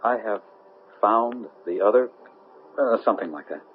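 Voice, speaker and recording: A man's voice: fairly low pitch, moderate pace, phone-quality sound, a little hiss or hum, lightly acted. An actor playing an ordinary man under an alien influence in a 1970s radio drama. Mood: puzzled, breezy. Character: Harry, a breezy man being taken over by an alien. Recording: thin band-limited 1970s radio broadcast.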